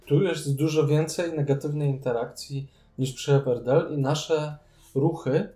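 A man's voice: a little high, steady tone, a little slow, mellow, roomy sound. A man co-hosting a board game podcast, chatting casually and adding his own comments. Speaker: podcast co-host.

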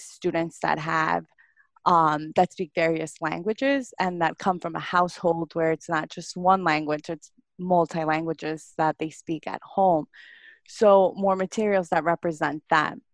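A woman born in Ecuador speaks as a guest on a podcast, explaining her work in a measured way. Her voice is somewhat low, and the recording is dry and close. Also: fairly even intonation, dry recording. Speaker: speech-language pathologist guest. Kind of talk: casual interview answers.

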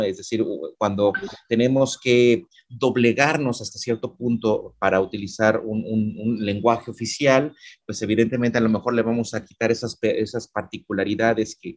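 A middle-aged man, a professor talking things through in a relaxed discussion, with frequent restarts and fillers. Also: fairly low pitch. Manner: halting, many repeated words and fillers. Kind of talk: conversational academic discussion.

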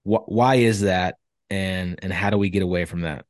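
A man hosting a podcast, asking questions in a measured, thoughtful way. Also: deep voice, fairly even intonation, no echo, clean studio sound. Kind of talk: interview question.

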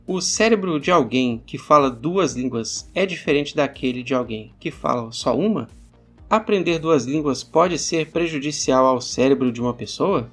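A man's voice: medium pitch, animated, normal speed, fairly bright tone, husky, faint music behind. A man with a Brazilian accent hosting a podcast, giving formal, announcer-like introductions. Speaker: podcast host, English teacher.

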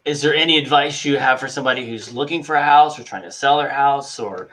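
A man hosting a podcast, asking slow, hesitant questions with fillers. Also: mid-range voice, a bit bright. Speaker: podcast host. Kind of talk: interview host.

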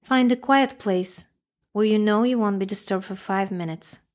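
A woman leads a guided meditation in a mid-pitched voice. Her delivery is calm and measured, leaving long pauses between short, simple instructions.

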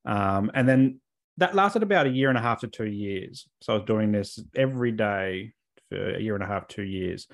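Low-voiced man sharing his story. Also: animated, moderate pace, creaky. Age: adult, over 28. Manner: reflective, explanatory, informal. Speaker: interview guest sharing personal experience. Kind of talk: candid personal storytelling in conversation.

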